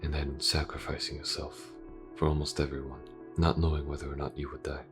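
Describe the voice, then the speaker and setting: A man voicing a calm, reserved police detective in an audio roleplay, speaking formally and with gentle reassurance to a wounded partner. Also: a bass voice, creaky, over music. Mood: reflective. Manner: measured, formal, gently reassuring, hesitant pauses. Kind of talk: audio roleplay, character acting.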